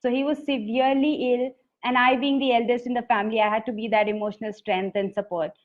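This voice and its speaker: A woman's voice: on the high side, steady tone, quick pace. A woman poet with an Indian English accent, telling her story in an earnest, reflective interview. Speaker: poet and author guest. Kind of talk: conversational interview answers. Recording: dull lo-fi audio.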